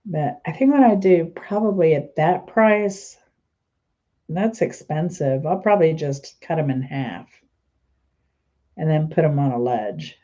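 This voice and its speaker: Woman host, stuffy and congested, casual rambling chat, low voice, dull lo-fi recording. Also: expressive, unhurried, mellow. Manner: chatty, rambling, trails off mid-sentence. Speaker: channel host.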